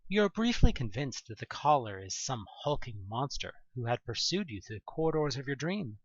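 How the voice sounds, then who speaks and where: A man reading a scripted second-person story in a theatrical, expressive narrator's manner. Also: mid-range voice, fairly quick, no room sound, muffled recording.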